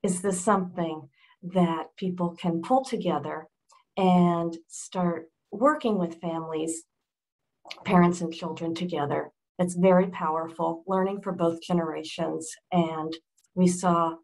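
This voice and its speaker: Measured woman hosting a webinar. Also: fairly low pitch, rough recording. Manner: measured, thankful. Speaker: webinar host. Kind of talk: closing remarks.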